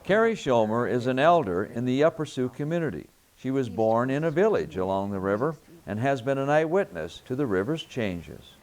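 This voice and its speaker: Man narrating documentary, lively intonation. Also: mid-range voice, moderate pace, few pauses.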